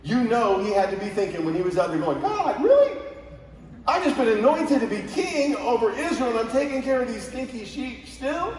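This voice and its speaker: A man's voice: extremely high pitch, animated, moderate pace, darkish tone. A man preaching a conversational sermon with rhetorical questions, speaking directly to listeners over a PA in a large auditorium. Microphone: heard over PA from audience seats. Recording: very echoey hall from far off.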